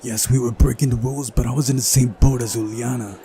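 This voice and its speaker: A man's voice: mid-range voice, fairly even intonation, fast, dark tone. A man doing a visual novel playthrough, switching between reading narration aloud and casual commentary.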